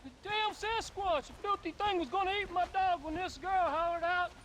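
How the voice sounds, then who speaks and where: An actor playing a fierce, paranoid backwoods hunter with a rural American accent and a manic, ranting manner. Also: male voice, very high-pitched, moderate pace, fairly bright tone. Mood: agitated. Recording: faint outdoor ambience noise.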